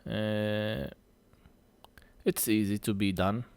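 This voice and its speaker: A man who speaks English as a second language gives a hands-on repair tutorial in halting short phrases, a little short of breath. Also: on the low side, flat delivery, darkish tone, clear, resonant tone, no echo. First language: not English. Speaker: phone repair technician presenting a tutorial.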